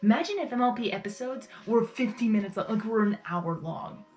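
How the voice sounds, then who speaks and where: A woman's voice: mid-range voice, a little fast, a little mellow. A woman giving casual live reaction commentary as a video host, effusive and rambling, often repeating her phrases.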